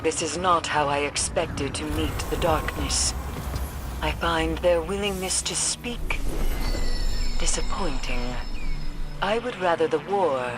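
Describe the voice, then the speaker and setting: Slow, solemn occult mystic. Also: female voice, deep voice, over music. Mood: grave, stern. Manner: formal, grave, deliberate phrasing. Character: stern, solemn mystic woman, cryptic occult expert. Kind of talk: video game voice acting.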